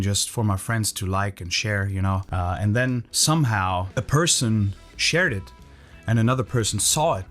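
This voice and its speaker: A man in his thirties from Germany, with a German accent and German as his first language, casually telling his own story to camera with loose, filler-heavy talk. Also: medium pitch. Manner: relaxed, loose, lots of "you know" and "uh" fillers. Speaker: Elvis tribute singer and channel host.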